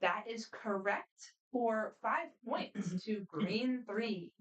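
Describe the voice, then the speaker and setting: A woman moderating a student quiz competition, reading questions aloud in a brisk, friendly, matter-of-fact way. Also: fairly high pitch, lo-fi sound. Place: competition room. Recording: voice picked up from a distance.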